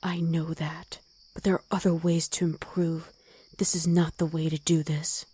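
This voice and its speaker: A woman voicing a gruff, protective wolf girl who talks bluntly in an ASMR roleplay drama. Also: low voice, little pitch movement, moderate pace, dark tone. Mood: firm. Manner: blunt, commanding, gruffly teasing.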